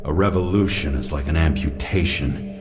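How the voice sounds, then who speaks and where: An actor playing a weary, cynical police detective in a noir video game, with a terse, deadpan delivery. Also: male voice, a bass voice, normal speed, over music. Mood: brooding.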